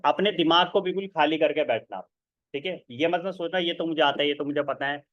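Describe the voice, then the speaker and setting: Fast-talking man pitching online. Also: no room sound, highs cut off. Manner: fast, persuasive, keeps checking with the listeners. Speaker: online seminar presenter. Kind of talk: sales pitch to a webinar audience.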